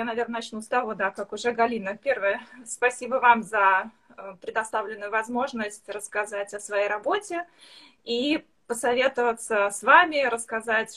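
Woman, specialist guest, slightly high voice, halting delivery with frequent fillers. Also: fairly bright tone. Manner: hesitant, many filler sounds, occasional slips between languages. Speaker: medical permanent makeup specialist. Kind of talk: online live interview.

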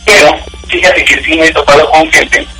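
A Mexican man chats as a guest in a high, very lively voice with a sharp, forceful edge. He tells things casually and acts out reactions. The sound is roomy and distant. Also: moderate pace, creaky. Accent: Mexican Spanish. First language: Spanish. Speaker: interview guest. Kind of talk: casual chat, anecdotal. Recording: roomy, distant call with faint music.

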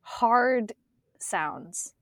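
A woman's voice: fairly high pitch, animated, very slow, no room sound, clean studio sound. A young woman from Korea co-hosting a podcast in casual chat, talking with many fillers and frequent laughs. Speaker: podcast co-host.

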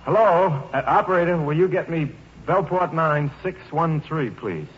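A man's voice: fairly high pitch, animated, normal speed, lightly acted. An actor playing a polite, eager young American soldier in an old-time radio drama, hesitant and earnest as he stammers over his words. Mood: anxious, tender. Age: young adult. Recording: muffled, noisy old radio recording.

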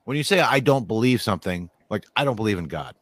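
A man in casual conversation, rambling with many restarts and a steady stream of "like". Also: on the low side, fast talker, dry recording. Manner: rambling, restarts often, says "like" a lot.

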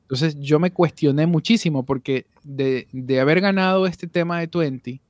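A man's voice: fairly high pitch, normal speed, darkish tone, dry recording, studio quality. A man, a voice actor guest on a podcast, talking candidly over a video call with frequent restarts. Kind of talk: casual podcast interview.

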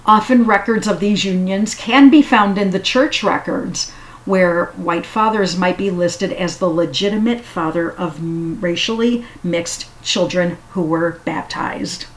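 A woman giving a casual spoken history talk, unhurried and halting with frequent fillers. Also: on the low side, low-quality recording. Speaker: history storyteller.

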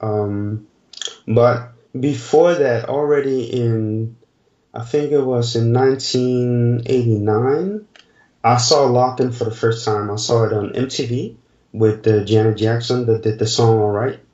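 A man in his forties, a dancer answering interview questions in a slow, halting way, searching for words. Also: medium pitch, mellow. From Denmark. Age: 40s. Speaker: dancer guest. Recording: distant, roomy, dull remote audio.

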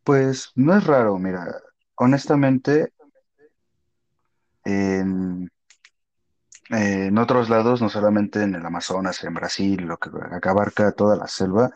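A man's voice: lowish voice, a little slow, pauses often, darkish tone. A man, a podcast co-host, explaining matter-of-factly in casual chat. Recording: dull lo-fi sound.

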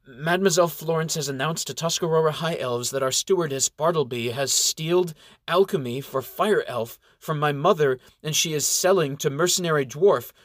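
A man's voice: fairly high pitch, dry recording, clean studio sound, pitched above the performer's own voice, close to an everyday voice. A man reading a storybook voices a worried young female fire elf who speaks broken English with a foreign lilt.

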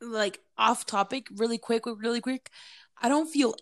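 A woman's voice: fairly high pitch, normal speed. A woman born outside the US, co-hosting a podcast in casual chat, blunt and swearing freely. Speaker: podcast co-host.

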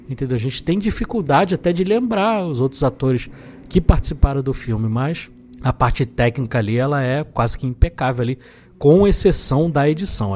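A man's voice: mid-range voice, a little mellow, a little hiss or hum. A man with a Brazilian accent hosting a film podcast, talking casually in an opinionated, explanatory and colloquial way. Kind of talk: casual film review discussion. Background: background music.

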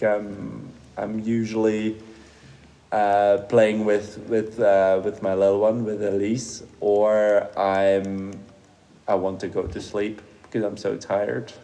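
A man's voice: fairly low pitch, flat delivery, slow pace, vocal fry, dark tone, rough recording. A man, a Dutch speaker and teacher, answering interview questions thoughtfully and hesitantly with many fillers. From Flemish Belgium. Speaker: primary school teacher interviewed by a pupil.

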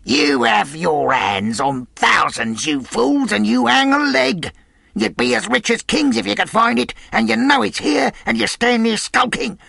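A furious pirate leader from an adventure audiobook. He barks and curses in a strained, high shout, cruel and used to command. The sound is dry and close. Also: lively intonation, measured pace, gravelly, slightly rough, no room sound, exaggerated voice. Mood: raging, scornful. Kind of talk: audiobook character voice.

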